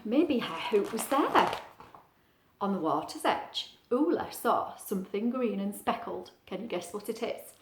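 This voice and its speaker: A woman reading a picture book to young children like a teacher, expressive and singsong, with chatty asides and little questions. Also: mid-range voice, roomy sound.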